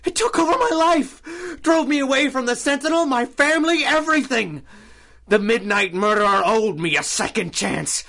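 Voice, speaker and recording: A man's voice: very high-pitched, moderate pace, vocal fry, sharp tone, heavily acted. A man playing an obsessed, self-pitying reporter turned killer, ranting melodramatically in a 1930s-style radio drama. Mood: bitter, ranting.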